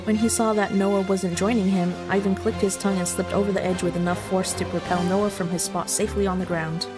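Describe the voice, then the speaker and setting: A woman streaming a story game, with an everyday mid-pitched voice. She chats casually and narrates prose in turns, with music clearly playing behind her. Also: little pitch movement, a little fast. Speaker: streamer reading a visual novel aloud. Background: background music.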